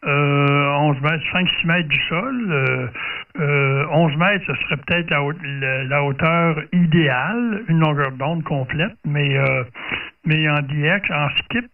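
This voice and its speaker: A man with a Quebec French accent chatting casually as an amateur radio operator, in a halting, hesitant way. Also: a little high, gravelly. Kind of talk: casual radio contact chat. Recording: tinny band-limited radio audio, distant from mic.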